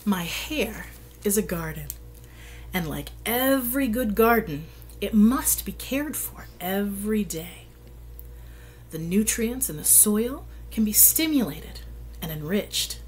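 Slow, expressive woman reading aloud. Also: medium pitch. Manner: measured, dramatic pauses, hushed breathy emphasis. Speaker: storyteller reading a picture book aloud. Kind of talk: expressive read-aloud for children. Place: indoor room at home. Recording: faint background room noise.

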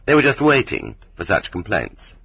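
Low-voiced man narrating, slightly muffled. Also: huge pitch swings, moderate pace, creaky, slightly rough, no echo. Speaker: audiobook narrator. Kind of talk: scripted literary narration. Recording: slightly dull, muffled audiobook recording.

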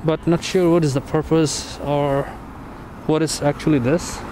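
Man musing on a city street walk. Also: fairly high pitch. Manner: relaxed, musing, pauses between observations. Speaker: walking tour vlogger. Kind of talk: casual walking commentary. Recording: street traffic noise outdoors. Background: traffic and street ambience.

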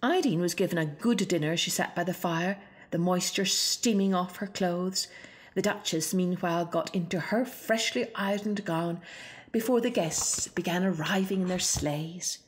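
A woman's voice: fairly low pitch, normal speed. A woman narrating a children's storybook expressively. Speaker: storybook reader.

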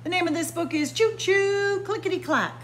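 A woman storyteller reading a picture book aloud to young children in an expressive sing-song way, drawing out and stressing words. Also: extremely high pitch, moderate pace, slight background noise. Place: library room with bookshelves, indoors.

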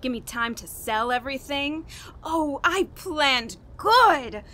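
A scheming, guilt-haunted murderous woman acted by a woman in a radio horror drama. Her voice is high and sharp-edged, and she takes her time, curt and brooding, leaving dramatic pauses. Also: expressive, full character voice. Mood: gloating, scheming.

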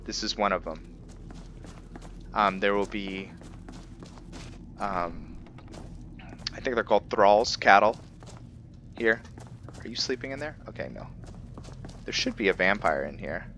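Male gameplay host, low but forceful, explains casually and haltingly, faint game ambience behind. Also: lively intonation, a little hiss or hum. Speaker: gaming video host. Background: video game ambience.

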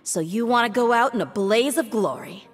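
A woman's voice: fairly high pitch, lively intonation, normal speed, close to an everyday voice. An actress playing a tough, confident young female mercenary in a video game, blunt and cool in manner. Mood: challenging. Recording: loud game music and combat effects.

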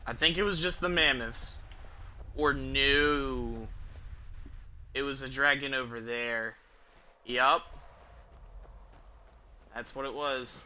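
A young man giving casual, halting gameplay commentary, muttering to himself as he plays. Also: medium pitch, sharp tone. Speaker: game streamer. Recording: clear background noise, game ambience.

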